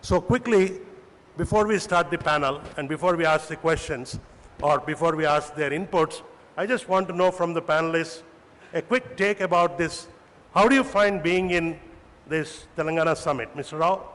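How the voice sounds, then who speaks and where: A man with an Indian English accent moderating a panel, brisk as he directs questions. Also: fairly high pitch, a bit bright. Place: large conference hall. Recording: echoey hall, faint noise.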